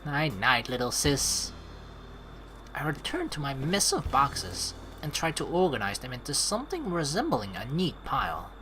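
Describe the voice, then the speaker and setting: A woman doing casual let's play commentary and reading game dialogue aloud in an expressive, playful, sweary way. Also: rumbling low, normal speed, slight background noise, rough recording.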